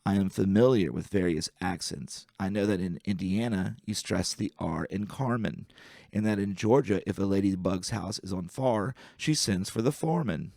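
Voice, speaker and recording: A man with a lowish voice and a lot of gravelly fry reads light verse aloud. His delivery is wry and deliberate, each word carefully pronounced. The sound is close and dry, with very little room in it. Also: darkish tone, dry recording. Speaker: amateur reader of poetry. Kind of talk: poetry reading aloud.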